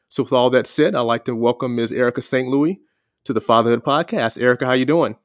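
A man's voice: medium pitch, fairly even intonation, fast, no echo, clean studio sound. A man hosting a podcast, opening with a scripted intro and welcoming a guest in an upbeat way. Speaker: podcast host. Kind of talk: scripted intro then casual interview.

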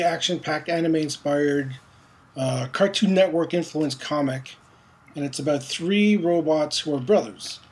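A man's voice: fairly high pitch, a little mellow. A man from the Philippines, raised in Montreal, talking loosely about his comic in an interview, with many fillers and restarts. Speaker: comic book writer. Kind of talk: casual interview.

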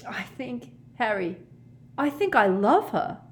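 A woman's voice: fairly low pitch, expressive, slow. A woman voicing a lovesick teenage boy in a storybook reading, breathless and dreamy, easily enraged. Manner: breathless, sighing, overwrought delivery.

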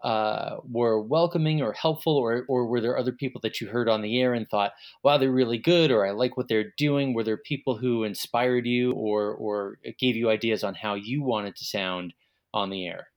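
A man's voice: mid-range voice, moderate pace. A man conducting a conversational interview, feeling his way through questions and rephrasing them as he goes. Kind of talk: conversational oral history interviewing.

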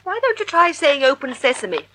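A woman's voice: high-pitched, very animated, brisk, heavy background noise. An actress playing an elegant, nervous and loyal lady companion to a sleuth in a 1940s-style radio mystery. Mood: playful. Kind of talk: 1940s radio drama acting.

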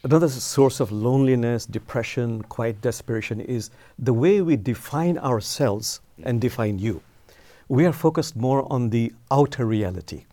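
A man's voice: medium pitch, moderate pace, warm tone, dry recording. A man on a discussion panel, speaking in an earnest, reflective way.

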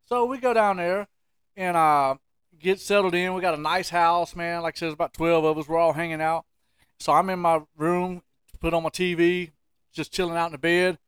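A man's voice: on the high side, steady tone, moderate pace, fairly bright tone, clean tone, no room sound, clean studio sound. A man co-hosting a podcast, telling stories casually and emphatically in folksy, colloquial language. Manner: colloquial, emphatic, repeats phrases for effect. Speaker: podcast co-host. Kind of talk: casual comic storytelling.

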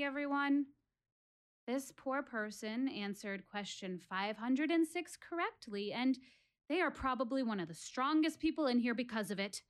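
A high-pitched female voice performed by an actress in a one-woman audio play. She is candid, wry and exasperated, speaking straight to her audience like a presenter, with emphatic delivery and theatrical pauses. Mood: forceful, frustrated. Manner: emphatic, addresses audience directly, dramatic pauses.

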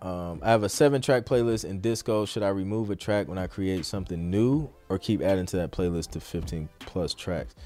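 A man's voice: deep voice, a little fast, hardly any pauses, mellow, soft background music. A man hosting a casual question-and-answer podcast, talking informally with some slang and thinking aloud as he goes. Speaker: podcast host and music producer answering questions. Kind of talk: casual live Q&A talk.

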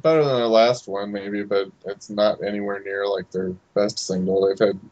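A man's voice: on the low side, steady tone, moderate pace, a little mellow. A man on a podcast panel, discussing fan topics casually in a matter-of-fact way. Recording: dull lo-fi close audio.

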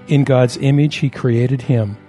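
A man delivers scripted narration for a children's story. His voice is mellow and of medium pitch, with soft music underneath. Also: steady tone, measured pace.

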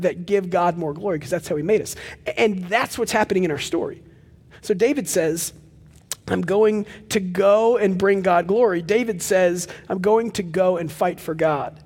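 A young man preaching a sermon as pastor of a church, with a loud, projecting voice and animated storytelling asides. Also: sits high, breathy edge, in a large hall. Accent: Texan. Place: large church hall with live audience.

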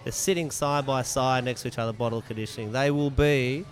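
A man of medium pitch explains things casually as a guest on a podcast. He is informal and repeats phrases to make a point, with faint music behind him. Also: fairly even intonation, fairly quick. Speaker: podcast guest, mead maker. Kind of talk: casual interview chat.

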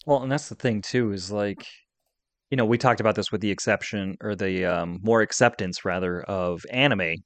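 A man's voice: fairly low pitch, normal speed, fairly bright tone, dry recording. A middle-aged man talking casually and conversationally as the co-host of a podcast.

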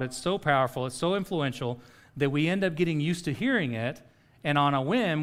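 A man's voice: mid-range voice, a bit bright. A middle-aged man preaching a sermon in a conversational way, often checking in with "okay?". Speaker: pastor.

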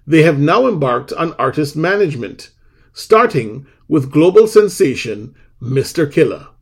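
A man's voice: fairly high pitch, measured pace, slightly rough. A man hosting a podcast, reading a scripted introduction and then chatting casually with a guest, laughing easily. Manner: lively, animated delivery with laughs. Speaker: podcast host.

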